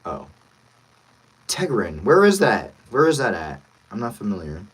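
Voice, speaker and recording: A man's voice: on the low side, huge pitch swings, unhurried, breathy edge, poor audio. A man chatting casually and friendly with viewers as a voice actor on a livestream, thinking aloud as he goes.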